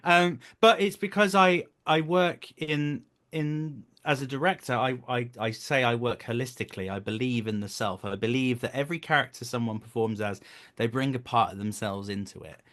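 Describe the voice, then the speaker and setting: Male coach, thirties, medium pitch, conversational and earnest, explanatory interview delivery. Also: moderate pace, fairly bright tone. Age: 30s. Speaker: voice actor, director and coach.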